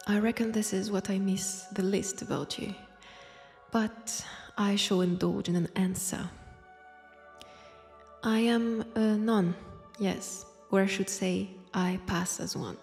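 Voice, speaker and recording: A woman with a French accent voicing an ancient, teasing vampire who poses as a nun, in a hushed, intimate roleplay drama. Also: medium pitch, fairly even intonation, soft background music. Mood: sardonic. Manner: slow, teasing, hushed, dropping into whispers. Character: ancient, powerful female vampire posing as nun, teasing, sardonic. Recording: long church-like reverb.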